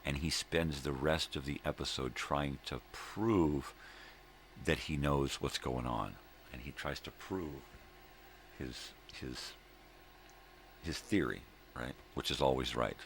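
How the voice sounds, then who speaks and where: Very deep, gravelly man rambling casually. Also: a bit bright. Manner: halting, meandering, repeats himself; grumbling, opinionated, swears casually. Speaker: host of a daily morning video log. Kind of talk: rambling casual solo chat. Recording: noticeable background noise.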